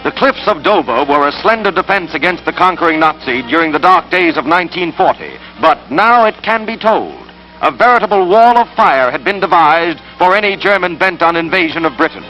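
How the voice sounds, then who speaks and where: A man's voice: fairly high pitch, very animated, a little fast, rough recording. A man with an American accent narrating a 1940s newsreel in a dramatic, emphatic, scripted style. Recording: old 1940s newsreel soundtrack, loud music. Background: loud orchestral newsreel score.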